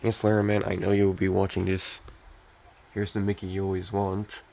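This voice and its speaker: A young man with a scratchy, gravelly voice from a recent illness, giving a casual, unhurried, off-the-cuff show-and-tell as a hobbyist. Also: low voice, monotone, darkish tone. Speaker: hobbyist collector showing restored radio.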